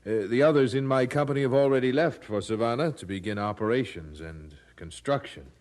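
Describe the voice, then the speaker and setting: An actor playing a devout, courteous, resolute preacher in an audio drama, speaking formally and earnestly. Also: male voice, medium pitch, a little fast, a little mellow, close to an everyday voice.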